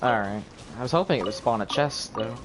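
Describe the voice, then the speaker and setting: A man's voice: mid-range voice, animated, a little fast, poor audio. A man giving casual live gameplay commentary as a game streamer, thinking aloud as he plays. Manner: thinking aloud while playing. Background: game music.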